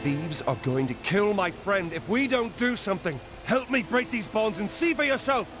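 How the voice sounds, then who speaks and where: High-pitched desperate captive. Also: male voice, expressive, normal speed, music in the background. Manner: urgent, pleading. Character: desperate pleading male captive scoundrel. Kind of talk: video game voice acting.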